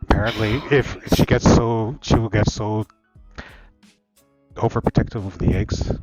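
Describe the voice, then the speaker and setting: A man's voice: on the low side, steady tone, measured pace, a little mellow, dry recording, faint music behind, poor audio. Man playing a hesitant, troubled male dragon. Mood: defensive, troubled. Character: troubled, dutiful male dragon husband and expectant father.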